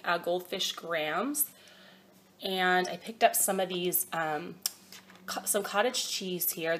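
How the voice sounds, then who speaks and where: Woman, 30s, hoarse cold voice, low-ish, rambling home haul chat on a phone camera. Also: a bit bright, slight background noise. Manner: chatty, rambling, hesitant with many ums. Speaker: vlogger. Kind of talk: casual grocery haul show-and-tell. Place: at home, by a table.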